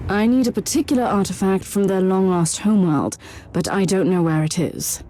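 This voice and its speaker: A woman's voice: mid-range voice, moderate pace, dark tone, natural voice. An actress playing a stern, pious female inquisitor in a video game, with a solemn, commanding manner. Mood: cold judgment.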